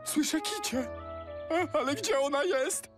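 A man playing an earnest, excitable and childlike young bulldozer in a dubbed children's cartoon. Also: very high voice, a little slow, over loud music. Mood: apologetic, earnest. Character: earnest, anxious young male bulldozer, childlike and rule-proud.

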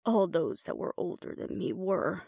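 A woman voicing a guarded, grudging, defiant old female vampire elder in an audio roleplay, speaking in a halting, solemn way. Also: on the low side, big pitch swings, creaky, mellow, breathy edge, no echo, studio quality, full character voice.

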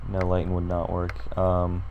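Hoarse young man with a low voice. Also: monotone, a little slow, dull audio, a little hiss or hum. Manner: rambling, filler-heavy, thinks aloud while clicking. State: hoarse, sore throat. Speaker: tutorial creator. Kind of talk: casual screen-recorded design tutorial.

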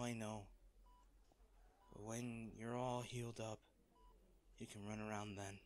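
A man playing a wealthy, devoted, protective lover in a romantic audio roleplay, speaking tenderly and earnestly with halting phrases. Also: mid-range voice, lightly acted. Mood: soft, soothing.

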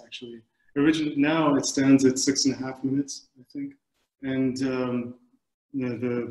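A man in his forties from the Middle East, a film director giving thoughtful, halting answers on a video call panel. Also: mid-range voice, a little mellow. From Middle East, born in Kuwait. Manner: halting, searching for words. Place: indoors, book-lined room at home. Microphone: computer mic on a video call. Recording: echoey room over video call.

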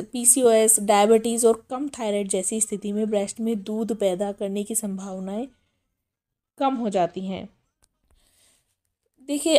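A woman hosting a pregnancy health channel, explaining step by step and calling her viewers friends, her voice a little hoarse from a cough. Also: medium pitch, mellow. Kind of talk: informational talk.

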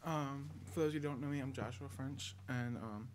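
A man's voice: mid-range voice, moderate pace. Hesitant young man giving testimony. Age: young adult. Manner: hesitant, searching for words. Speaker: church member giving a testimony. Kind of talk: halting public testimony. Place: church sanctuary at a lectern. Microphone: stand microphone. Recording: noisy church hall recording.

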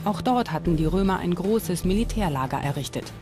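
A woman presenting a history documentary in scripted, clearly articulated and explanatory narration. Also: lowish voice, lively intonation, brisk, over music. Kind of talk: scripted documentary narration with on-location interview questions.

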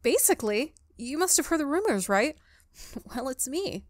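A woman's voice: high-pitched, sing-song, normal speed, no room sound, clean studio sound, pitched above the performer's own voice. A woman voicing a shy, awkward, friendly college ghost in a visual novel, nervous, hesitant and stammering. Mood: nervous, eager.

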